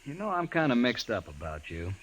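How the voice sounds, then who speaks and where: A man's voice: medium pitch, big pitch swings, a little fast, a bit bright. An actor playing a bitter, plain-spoken frontier man with a rural American accent and a folksy, blunt manner, in an old-time radio western. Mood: puzzled, earnest. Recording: faintly noisy old radio recording.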